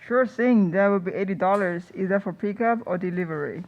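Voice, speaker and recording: A woman's voice: mid-range voice, fairly quick, close to an everyday voice. A young woman playing a polite, matter-of-fact pizza shop phone clerk in a live radio sketch. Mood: polite, businesslike. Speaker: student radio play performer. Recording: slightly dull, lo-fi radio recording.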